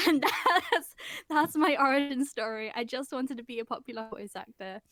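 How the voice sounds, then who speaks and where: A woman in her twenties with a high voice tells her story playfully, laughing along the way. The sound is close and dry. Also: normal speed, fairly bright tone, no echo. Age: 20s. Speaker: hobby voice actor panelist. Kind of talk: casual panel chat.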